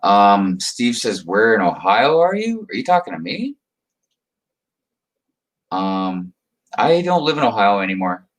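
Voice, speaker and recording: Deep-voiced casual man chatting with viewers. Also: very animated, a little slow, long pauses. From United States. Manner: rambling, chuckling, talks to viewers by name. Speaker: livestream host reading chat. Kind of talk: casual chat.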